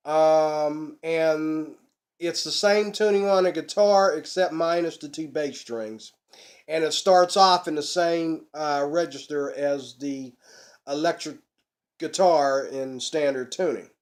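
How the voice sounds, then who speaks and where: A middle-aged man, a bit hoarse from a cold, chatting folksily to his webcam at home about ukuleles. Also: a little high, a little mellow. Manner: folksy, chatty, preacher-like emphasis. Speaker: ukulele channel host. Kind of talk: casual instructional vlog. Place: small room at home, indoors.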